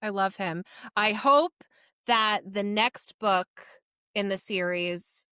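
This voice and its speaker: A woman's voice: medium pitch, takes it slowly, pushed and bright, clear, resonant tone, no room sound, studio quality. A woman hosting a podcast in casual, informal chat, speaking with gushing emphasis. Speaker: podcast host. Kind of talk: casual book discussion chat.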